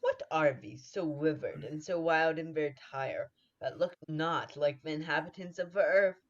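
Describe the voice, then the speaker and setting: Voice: mid-range voice, normal speed, natural voice. Muffled, wary noble warrior played by a student. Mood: puzzled. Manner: declamatory. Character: wary, bold noble warrior questioning strange witches. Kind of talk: 1940s radio play acting of Shakespeare. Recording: dull, muffled audio.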